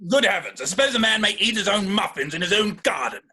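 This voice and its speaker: A man acting an earnest, exasperated young gentleman in a Victorian stage comedy, speaking formally and with indignant emphasis. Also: high-pitched, lively intonation, fast, husky, heavily acted.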